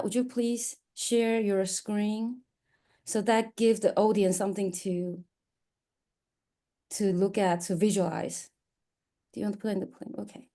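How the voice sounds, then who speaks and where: Female event presenter, soft-edged mellow tone, informal and halting in delivery. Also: medium pitch. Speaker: event presenter introducing poets. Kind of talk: informal spoken introduction.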